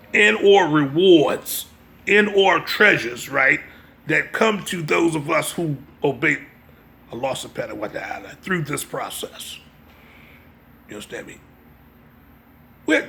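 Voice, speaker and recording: A man with a gravelly voice giving an informal religious lecture, part reading and part commentary, emphatic and repeating his phrases to press the point. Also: high-pitched, sharp tone. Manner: emphatic, repeats phrases, checks listener's understanding. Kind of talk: informal religious lecture mixing read-aloud passages and commentary.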